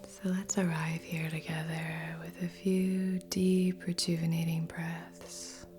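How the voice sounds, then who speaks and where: A woman speaking in a soft, breathy whisper as a gentle, soothing host of an ASMR guided meditation. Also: sits low, level tone, slow pace. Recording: soft music under voice. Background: background music.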